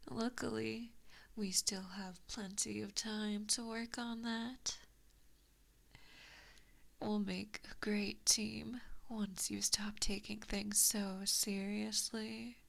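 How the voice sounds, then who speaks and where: Slow whispering evil fox giantess, played by a woman. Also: mid-range voice. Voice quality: whispery, breathy. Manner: slow, taunting, mock-sweet. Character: evil, possessive giant kitsune fox-woman, teasing and cruel. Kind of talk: ASMR roleplay. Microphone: close binaural microphone.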